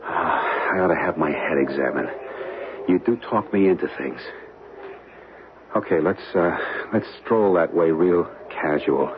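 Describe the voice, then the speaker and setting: Plucky, casual everyman, wisecracking, lowish pitch, old radio drama with faint noise. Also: measured pace. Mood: wry unease. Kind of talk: 1970s radio drama acting. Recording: muffled, noisy old radio broadcast.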